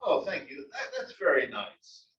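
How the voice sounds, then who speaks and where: A man reading the part of an eager, energetic husband of seventy-five in a comedy table read. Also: on the high side, measured pace, full character voice. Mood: touched. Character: energetic, hurried seventy-five-year-old husband, retired engineer. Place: library room reading before an audience. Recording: dull distant mic in echoey room.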